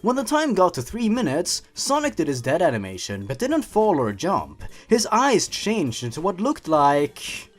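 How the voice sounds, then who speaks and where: A man's voice: high voice, wildly swooping pitch, a little slow. A man reading stories aloud and commenting on them in a sarcastic, mock-serious, theatrical way. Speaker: YouTube creepypasta reader and commentator. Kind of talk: scripted commentary and dramatic story reading.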